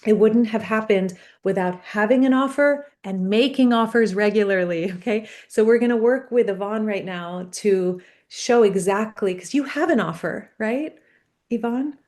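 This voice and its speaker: A woman's voice: mid-range voice, moderate pace, a little mellow. A woman coach hosting a live online workshop on a video call, speaking earnestly and encouraging her guest.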